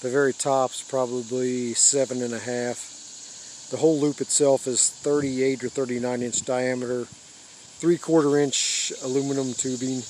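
Flat-toned older American man outdoors. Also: medium pitch. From United States. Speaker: radio hobbyist giving a tour. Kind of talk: casual unscripted show-and-tell. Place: open rural backyard, outdoors. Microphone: handheld phone or camera mic. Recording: outdoor handheld recording with background noise.

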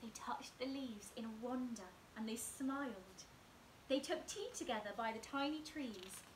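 A young woman in her twenties reading a picture book aloud as a bedtime story, slow and expressive, often dropping to near whispers, recorded at home on a camera in front of her. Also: fairly high pitch, roomy sound, slight background noise, low-quality recording. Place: small room at home, indoors.

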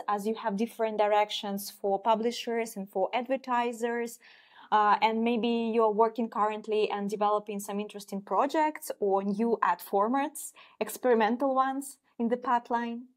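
A woman's voice: a little high, fairly even intonation, moderate pace. A woman in her twenties hosting a business podcast, asking careful questions with many hesitations. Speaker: podcast host. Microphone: wired earphones on a video call.

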